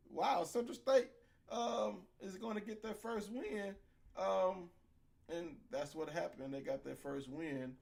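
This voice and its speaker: A man co-hosting a casual college sports chat, his voice scratchy as it recovers. He sits a bit high for a man with a gravelly edge. He is animated and opinionated, leaning on fillers as he goes. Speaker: podcast co-host.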